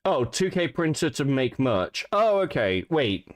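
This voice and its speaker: A male stream host reacts to clips in open disbelief, swearing and breaking into laughter often. His voice swings widely in pitch, and the recording is dry and close. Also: big pitch swings, unhurried, dry recording. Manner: incredulous and profane, laughs often.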